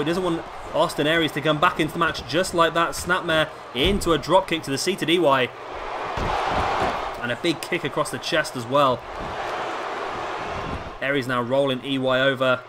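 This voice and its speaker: A hoarse man with a sore throat giving casual play-by-play commentary as host of a wrestling game video. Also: a little high. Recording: clearly noisy home recording.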